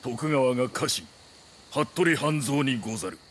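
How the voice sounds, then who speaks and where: An actor playing a composed, dutiful ninja retainer in a period video game, speaking in formal, measured samurai phrasing. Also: male voice, mid-range voice, lively intonation, fairly bright tone, a little hiss or hum. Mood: guarded, formal. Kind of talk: scripted Japanese period game drama. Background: music.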